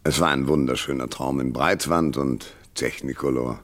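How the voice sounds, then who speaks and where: An actor playing a wry, tough hardboiled private detective, narrating and trading dry banter in a radio noir. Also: male voice, extremely low pitch, fairly even intonation, normal speed, very noisy. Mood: wry narration. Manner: sardonic, dry first-person narration and sharp banter.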